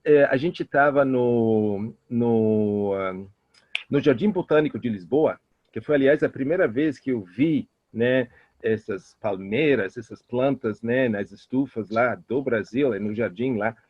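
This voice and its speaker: Sex: man. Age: middle-aged. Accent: Italian. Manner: quick, halting storyteller. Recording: dull, muffled, dry. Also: mid-range voice, no room sound. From Italy. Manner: fast, animated talker with many hesitations and restarts. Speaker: guest expert. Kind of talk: interview answers, personal storytelling. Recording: muffled lo-fi audio.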